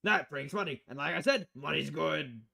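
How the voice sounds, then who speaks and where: A performer voicing a crass, creaky newspaper boss. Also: male voice, fairly high pitch, expressive, normal speed, pushed and bright, heavily acted. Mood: cynical. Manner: brash, foul-mouthed, blustering. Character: crass, cynical, money-loving newspaper editor, a man. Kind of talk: visual novel character voice.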